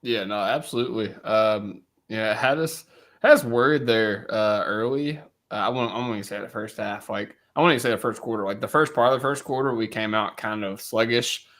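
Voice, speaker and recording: A man's voice: on the low side, a little fast, a bit bright. A man co-hosting a sports podcast, his voice stuffy from allergies, chatting casually from a cold room at home. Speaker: podcast co-host.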